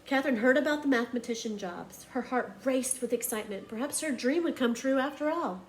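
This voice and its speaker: Lady teacher reading a kids book out loud, expressive, bit of noise in the recording. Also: high voice, brisk. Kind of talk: children's picture book read-aloud.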